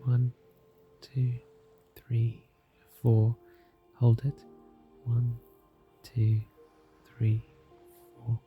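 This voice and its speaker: A man leads a guided meditation in a soft, soothing, scripted narration, calm and gentle, leaving long unhurried pauses. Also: mid-range voice, phone-quality sound. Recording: music behind the voice. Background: soft music.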